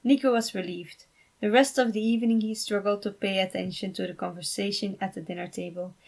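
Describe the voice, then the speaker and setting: A woman narrates a scripted story in a mid-pitched voice. There is clear vocal fry in her delivery as she reads the fan fiction aloud. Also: steady tone, brisk, a little mellow.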